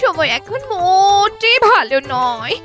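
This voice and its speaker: A fussy, frightened gossip of a cartoon wife. Her voice is extremely high and sharp, with faint music in the background. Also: very animated, takes it slowly, heavily acted. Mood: anxious. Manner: fussy, anxious. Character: fearful, gossipy minister's wife in a folktale cartoon.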